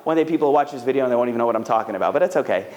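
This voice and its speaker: A man's voice: medium pitch, rapid-fire. Chatty man in a reverberant hall. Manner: chatty, animated, full of fillers. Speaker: speaker answering audience questions. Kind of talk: casual talk to a live audience.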